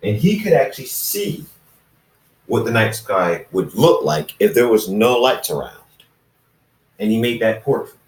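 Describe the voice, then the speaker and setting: Echoey, slow-paced man telling a story. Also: lowish voice, big pitch swings. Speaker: fan fiction narrator reading own recording. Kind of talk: informal storytelling, reading aloud with asides to listeners. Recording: roomy, echoey, distant microphone.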